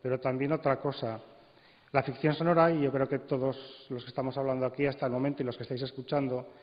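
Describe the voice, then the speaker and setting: A man's voice: mid-range voice, little pitch movement, fast. Man making a case in an echoing hall. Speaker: conference panelist. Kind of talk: persuasive panel talk. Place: conference hall, amplified event. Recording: dull, reverberant hall recording.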